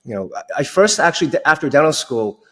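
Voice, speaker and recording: A man's voice: fairly high pitch, expressive, fast talker, pushed and bright. A man in his forties from Long Island, New York, talking casually as an interview guest and often saying "you know". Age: 40s. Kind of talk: casual conversational storytelling.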